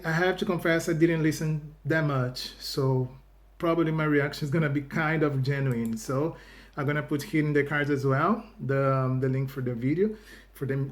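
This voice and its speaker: A man with a Brazilian accent chats casually to his channel in English, a second language after his native Portuguese. His voice sits a bit high for a man. He talks in stops and starts, often repeating words before he finishes a thought. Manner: halting, restarts phrases, fills with "you know". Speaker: YouTube reaction host. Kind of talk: casual video chat to viewers.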